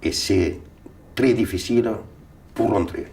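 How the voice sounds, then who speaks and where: A man's voice: lowish voice, unhurried, vocal fry, mellow. An older man from Tunisia, whose first language is Sicilian, recalls his navy days in a rambling way, often repeating and restarting words. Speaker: interviewee. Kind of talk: recounting memories. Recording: voice a little far from mic.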